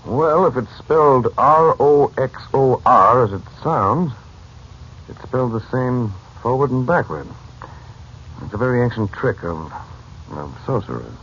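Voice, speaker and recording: An actor playing a calm, authoritative uncle versed in mysticism, measured and explanatory, in 1940s radio serial style. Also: male voice, mid-range voice. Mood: grave. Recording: dull old radio recording, faint noise.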